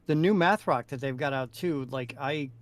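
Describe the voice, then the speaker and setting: A man's voice: mid-range voice, moderate pace. A man co-hosting, chatting casually as a music fan.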